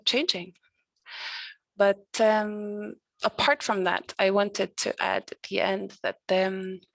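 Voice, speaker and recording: Woman from Norway, gravelly fry, flat delivery, dry close sound, video call panelist. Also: mid-range voice, no echo. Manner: relaxed, conversational, hesitant fillers. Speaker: discussion participant. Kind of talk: online panel discussion.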